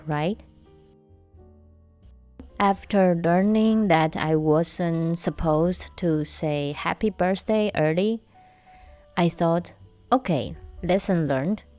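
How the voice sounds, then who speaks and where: A young woman from Taiwan, hosting a casual solo podcast and telling stories slowly with light humor. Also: on the low side, warm tone, no echo. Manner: slow, measured storytelling with light humor. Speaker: podcast host.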